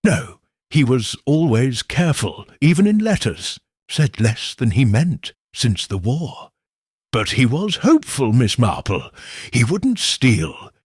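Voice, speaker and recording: A man's voice: mid-range voice, sing-song, slow pace, husky, no echo, clean studio sound, heavily acted. A man voicing an anxious young woman typist who speaks earnestly and pleadingly in a storybook reading.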